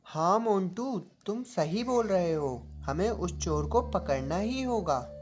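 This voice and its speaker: A woman's voice: on the low side, animated, slow pace, darkish tone, faint music behind. A woman voicing a young village boy, one of a group of friends in a children's cartoon story. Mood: confident.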